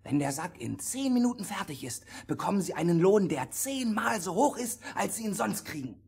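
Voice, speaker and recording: An actor playing a bold, cunning soldier in a fairy-tale radio play, mock-polite and commanding. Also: male voice, high voice, big pitch swings, normal speed, gravelly, a little mellow, breathy edge, exaggerated voice. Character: bold, confident, cunning soldier leading a band. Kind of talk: theatrical radio play acting.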